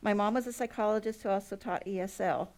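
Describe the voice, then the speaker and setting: A woman's voice: medium pitch, quick pace, darkish tone. Earnest middle-aged woman pleading. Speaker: school nurse giving public comment. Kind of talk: public comment at a school board meeting.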